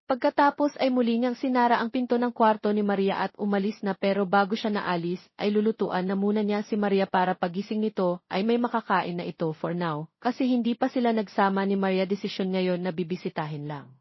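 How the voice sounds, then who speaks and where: A woman's voice: mid-range voice, brisk, hardly any pauses, no room sound, lo-fi sound. A woman with a Filipino accent narrating a scripted romance story as an audiobook narrator.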